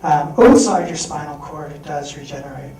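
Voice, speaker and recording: High-voiced man telling a story in a hall. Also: normal speed, a little hiss or hum. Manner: candid, animated storytelling, acts out quoted voices. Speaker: speaker at a fundraising event. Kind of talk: personal story talk on stage. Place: event hall with audience. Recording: echoey hall recorded from far off.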